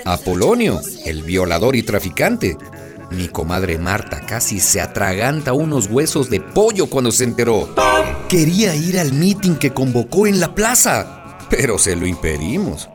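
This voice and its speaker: Gossipy barrio storyteller: wry, colloquial radio drama narration, lively intonation over music. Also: male voice, medium pitch, unhurried. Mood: amused, gossipy.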